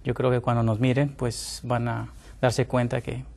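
A middle-aged man from Mexico, a naturopathic doctor and former pastor, speaking politely as a TV guest with many fillers and pauses. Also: mid-range voice, flat delivery, gravelly, darkish tone. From Tamaulipas, Mexico. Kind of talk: TV interview guest.

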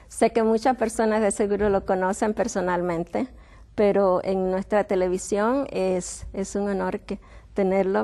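A woman's voice: medium pitch, a little mellow. A middle-aged woman hosting a television health program, welcoming and interviewing a guest with frequent hesitations and restarts. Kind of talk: friendly TV interview.

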